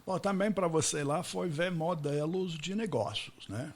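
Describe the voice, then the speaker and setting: A man's voice: a little high, unhurried. Man chatting in Portuguese. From United States. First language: English. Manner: chatty, checks in with tag questions. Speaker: podcast host. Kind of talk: casual interview chat.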